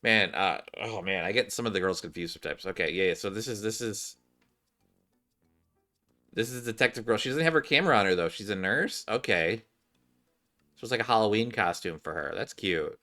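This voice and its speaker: A man's voice: on the low side, brisk, pushed and bright, soft background music. A man streaming a game, reading story dialogue aloud theatrically and dropping into casual asides. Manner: theatrical, switches between character voices and casual asides.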